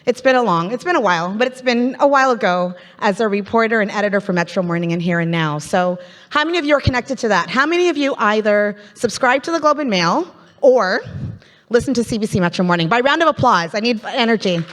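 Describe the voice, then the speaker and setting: A woman's voice: on the high side, animated, fast talker, a bit bright. A Canadian woman hosting a live business event as MC, chatty and playful as she draws the audience in over a stage microphone. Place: large conference hall. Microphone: handheld stage microphone. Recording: reverberant conference hall.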